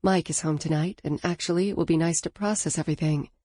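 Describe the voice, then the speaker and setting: A woman narrator voicing a caring older sister and busy mother, speaking in a warm, practical way. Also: low voice, fairly even intonation, fast, dry recording, studio quality.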